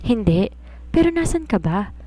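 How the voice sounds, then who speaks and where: Woman putting on a chatty, higher-pitched young secretary. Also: big pitch swings, normal speed, dark tone. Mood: fretful, curious. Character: young female secretary, chatty, fretful, inquisitive.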